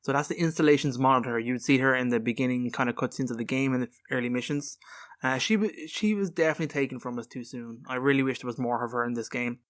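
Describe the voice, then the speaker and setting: A man's voice: mid-range voice, fast, fairly bright tone. A man co-hosting a podcast, explaining video game lore in an informal, rambling way. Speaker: podcast co-host.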